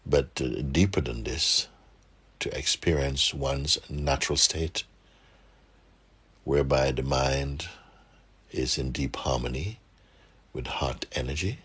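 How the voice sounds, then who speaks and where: A man guiding a meditation for a group, speaking softly and calmly with gentle pauses and a husky, breathy voice. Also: extremely low pitch. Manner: unhurried, gentle pauses, calm instruction. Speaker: meditation guide. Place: room with a seated group, doors closed.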